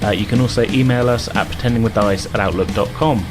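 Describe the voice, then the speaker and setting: A man's voice: on the low side, quick pace, over music. Man hosting a tabletop game podcast. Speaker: game master and podcast host. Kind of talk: casual tabletop game talk.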